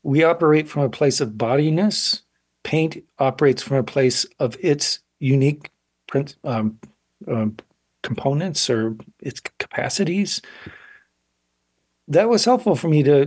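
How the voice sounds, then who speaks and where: A man in his sixties, an artist interviewed on radio, speaking in a thoughtful, halting way and often pausing to find words. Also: fairly high pitch, expressive, a little mellow, breathy edge. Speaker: painter, interview guest. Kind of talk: reflective interview talk.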